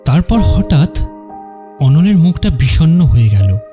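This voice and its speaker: A man narrating a scripted dramatic story in measured, separate phrases. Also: fairly high pitch, sing-song. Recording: music under the narration.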